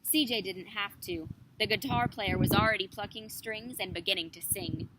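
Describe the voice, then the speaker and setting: A young woman narrating a children's picture book with measured, careful phrasing. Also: mid-range voice. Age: young adult. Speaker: student storybook reader. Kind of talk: scripted children's story narration. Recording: noticeable background noise.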